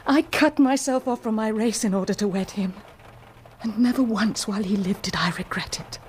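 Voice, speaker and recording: An actress playing an anguished, dignified married woman confessing a secret, speaking formally and with deliberate passion in a period radio drama. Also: mid-range voice, a little mellow, a little hiss or hum, close to an everyday voice. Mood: proud, tender. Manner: formal, deliberate, impassioned, declamatory.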